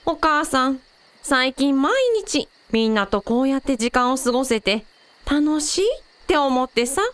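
A woman playing an affectionate, perceptive mother with a gentle, expressive manner in a Japanese-style radio drama. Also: high voice, unhurried, clear, resonant tone, no echo. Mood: fond, cheerful.

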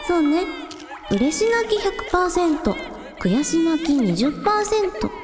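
Radio drama heroine played by a woman: brisk, caring young idol, high voice, echoey with long reverb. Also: big pitch swings, crowd noise. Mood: teasing. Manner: brisk, teasing, takes charge. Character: bossy, caring young village idol girl. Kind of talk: Japanese radio drama acting. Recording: echoey reverberant sound with music.

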